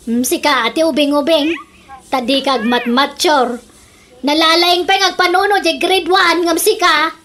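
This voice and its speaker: Casting: actress as argumentative Ilocano mother for radio comedy, high-pitched scold, clearly noisy recording. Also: steady tone, a little slow, fairly bright tone. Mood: nagging. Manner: nagging, exclamatory, argumentative. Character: Mirna, scolding, quarrelsome village mother in a comedy radio drama.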